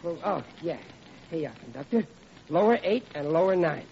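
An actor playing an eager, bumbling newlywed husband who stammers excitably in an old-time radio comedy. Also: male voice, fairly high pitch, a little fast, gravelly. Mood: relieved, doting. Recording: muffled, noisy old radio broadcast.

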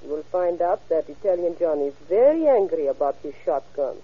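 An actress playing a guarded female informant, speaking in a deliberate, insinuating way in an old radio crime drama. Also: low voice, sing-song, slightly rough, full character voice. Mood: knowing. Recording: tinny, narrow-band vintage radio recording.

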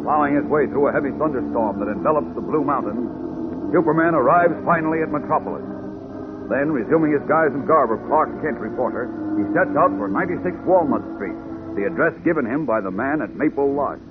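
A man's voice: medium pitch, animated, fairly quick. A man narrating a radio serial in a dramatic, urgent, suspenseful 1940s announcer style. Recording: tinny old radio, loud music behind. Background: loud music.

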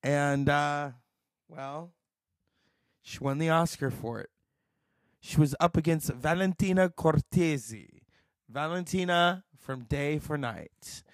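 Chatty guy hosting a movie show, slow easy pace, dry mic. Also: fairly high pitch, no room sound. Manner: chatty, enthusiastic about films. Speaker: film show host. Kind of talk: casual film commentary.

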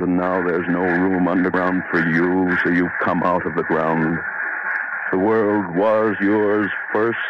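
An actor playing an anguished, guilt-ridden man losing his mind, in a melodramatic, halting radio horror monologue. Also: deep voice. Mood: dread, despair. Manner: melodramatic, halting, haunted delivery. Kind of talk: 1940s-style radio horror monologue acting. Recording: muffled, noisy old radio recording.

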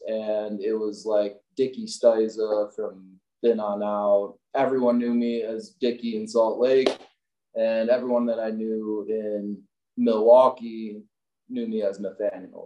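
Low, mellow-voiced man in an echoey room. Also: dull audio. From Wisconsin, US Midwest. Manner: relaxed, rambling, many fillers. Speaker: guest, company owner. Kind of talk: casual interview chat.

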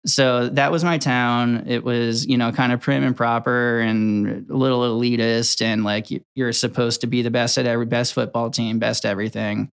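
A young man from Connecticut, a video editor chatting casually as the guest of a workplace interview, relaxed and rambling with many ums and restarts. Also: mid-range voice, normal speed, vocal fry, hardly any pauses, smooth. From Connecticut, USA.

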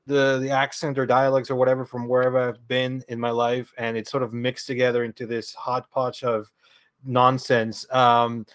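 A man's voice: medium pitch, fairly bright tone, dry recording. A man from Venezuela, a podcast guest telling stories in a rambling, chatty way with frequent laughter and restarts. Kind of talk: casual conversational storytelling.

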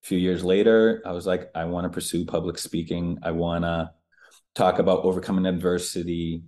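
Low, mellow-voiced man telling his story. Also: level tone, a little fast. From Northeastern United States. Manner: candid, conversational, with fillers. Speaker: guest, public speaker. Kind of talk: casual storytelling interview.

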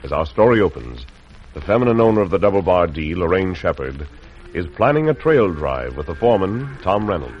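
An actor narrating a radio western in a grand, dramatic announcing style. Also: male voice, low voice, big pitch swings, fairly quick, a little hiss or hum. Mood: stirring, dramatic. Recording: muffled old radio recording with music.

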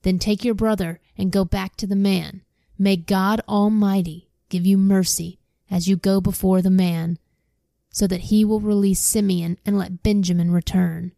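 Sorrowful old patriarch, read by a woman; slow mournful lines, mellow voice. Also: mid-range voice, little pitch movement, vocal fry, no room sound, clean studio sound, close to an everyday voice. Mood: resigned.

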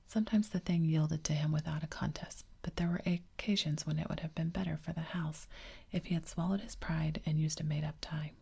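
A woman narrating a classic storybook in a steady whisper, like an ASMR reading. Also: low voice, a little fast, vocal fry, husky. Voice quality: whispering throughout.

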